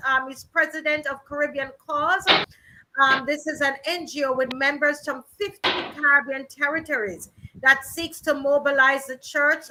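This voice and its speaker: A woman's voice: sits high, sharp tone, rough recording. A middle-aged woman from Barbados, hosting a virtual rally in a chatty way with many ums and restarts. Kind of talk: casual hosting and introductions.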